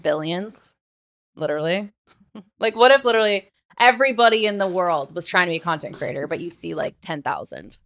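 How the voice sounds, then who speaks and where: A woman's voice: lowish voice, animated, fairly quick, fairly bright tone. A woman hosting a podcast in casual, emphatic conversation, leaning often on "like" and "literally.". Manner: emphatic, filler-heavy with frequent "like" and "literally". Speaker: podcast host.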